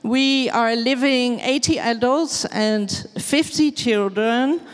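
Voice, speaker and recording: Dutch woman speaker, slow and halting, clear voice, hall echo. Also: on the high side. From Netherlands. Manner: hesitant, searching for words in English. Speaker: farmer giving a presentation. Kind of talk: slow halting presentation with many fillers. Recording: long hall reverb.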